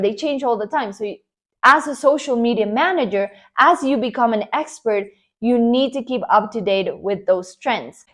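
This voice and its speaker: A young woman from Colombia with a Colombian Spanish accent, Spanish her first language, coaching viewers on online careers in an earnest, encouraging talk to camera. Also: on the high side. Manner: earnest, encouraging, emphatic with repeated promises.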